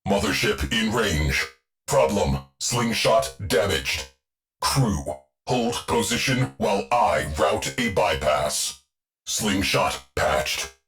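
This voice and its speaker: Deep, terse, solemn ship AI. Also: male voice. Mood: terse, businesslike. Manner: clipped, terse, formal fragments. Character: terse, solemn male shipboard artificial intelligence, battle-hardened and loyal. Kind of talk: scripted video game character lines.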